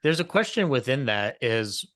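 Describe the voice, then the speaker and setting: A man's voice: mid-range voice, sing-song, moderate pace, fairly bright tone, no echo, clean studio sound. A man acting as interviewer in a casual fan conversation, offering his own takes and putting questions to guests. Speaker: interviewer, panel moderator.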